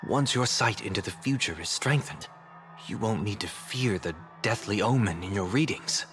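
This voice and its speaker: A man voice acting a polite, probing gentleman in a game cutscene, with measured, deliberate and formal speech. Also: on the low side, music in the background, natural voice. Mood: calm, probing. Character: polite, probing, soft-mannered gentleman, former ruler.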